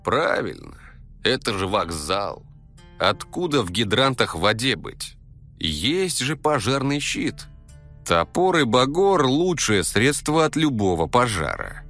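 Male storyteller, animated pitch, coarse street slang, comic first-person narration, music underneath.

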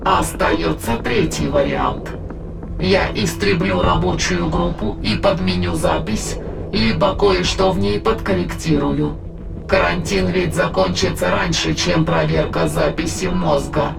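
A man playing a cold, calculating alien entity in an audio drama, speaking in a measured, clinical way over music. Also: high voice, vocal fry, exaggerated voice. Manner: measured, clinical, matter-of-fact. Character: alien immaterial entity in a dead woman's body, cold, calculating.

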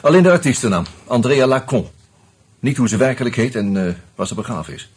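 An actor playing an earnest, troubled man turned amateur sleuth in a Dutch radio play, insistent and halting as he reasons aloud. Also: medium pitch, animated, slightly rough. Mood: brooding, intent. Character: earnest, agitated amateur sleuth, a murdered man's loyal friend.

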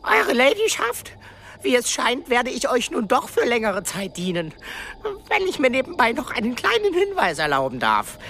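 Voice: mid-range voice, huge pitch swings, normal speed, a bit bright, a little hiss or hum. An actor playing a small, cheeky servant creature in a radio play, fawning and formal with muttered asides. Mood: wheedling. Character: small cheeky servant creature with hairy ears, obsequious, scheming.

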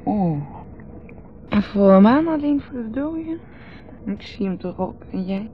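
Dreamy, rambling Dutch wife, played by an actress. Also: female voice, mid-range voice, expressive, measured pace, slight background noise, close to an everyday voice. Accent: Netherlands Dutch. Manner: rambling, repetitive, musing. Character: dreamy, rambling, teasing wife, drinking under a full moon. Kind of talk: 1970s radio drama acting. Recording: dull old radio recording with music.

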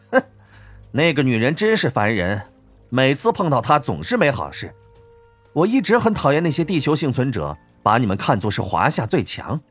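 Guy doing an arrogant hoarse fighter dude from an audiobook, mocking, pitch jumps up high and swoops. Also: heavily acted. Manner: mocking, scornful. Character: arrogant sneering foreign male fighter, mocking and contemptuous. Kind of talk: audiobook character dialogue.

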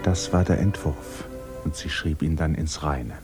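An actor giving measured, sober literary narration in a German radio drama. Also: male voice, very deep voice, steady tone, music in the background.

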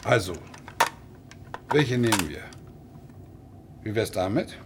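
A man's voice: lowish voice, animated, slow pace, very noisy. An actor in his sixties playing a stubborn, insistent widowed pensioner in a radio crime drama. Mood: musing. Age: 60s. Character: stubborn widowed pensioner, bossy amateur hero.

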